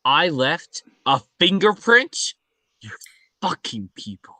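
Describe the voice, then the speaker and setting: A man's voice: on the high side, sing-song, slow, pushed and bright, dry recording, exaggerated voice. A man playing an intense, suspicious agent on the run, interrogating and demanding in a screenplay table read. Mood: shaken, menacing.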